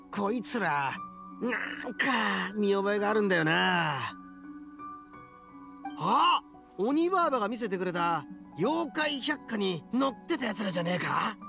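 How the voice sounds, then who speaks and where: A hot-headed young ogre from a video game, gravelly and swinging widely in pitch. The delivery is brash, rough and boastful, with music clearly playing underneath. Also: male voice, heavily acted. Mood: puzzled, then triumphant. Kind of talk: Japanese video game voice acting.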